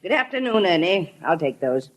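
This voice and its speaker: A woman's voice: on the low side, sing-song, moderate pace. An actress playing a brusque, nosy middle-aged small-town woman with a dry, scolding manner in a 1950s radio drama. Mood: dry, distracted. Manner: dry, sharp-tongued, scolding. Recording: old radio recording with background hiss.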